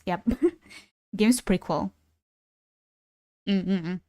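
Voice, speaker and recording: A woman's voice: fairly low pitch, steady tone, very slow, a little mellow, no echo. Chatty young woman streamer, Ukrainian native. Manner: chatty, swears casually, laughs between thoughts. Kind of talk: casual gaming stream chat.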